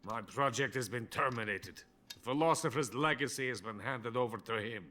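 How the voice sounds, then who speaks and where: An actor playing a drunk, boastful older Soviet weapons scientist in a video game cutscene. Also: male voice, mid-range voice, normal speed, sharp tone, close to an everyday voice. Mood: bitter, scheming. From Soviet Union. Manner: pompous, self-important, bitter.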